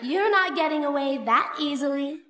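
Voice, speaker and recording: A woman's voice: high voice, moderate pace, pushed and bright. A woman voicing a young female cartoon pony's evil shadow double, with a theatrical, taunting villain delivery. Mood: sinister taunting. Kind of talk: animated series voice acting. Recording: echoey reverb, some background noise.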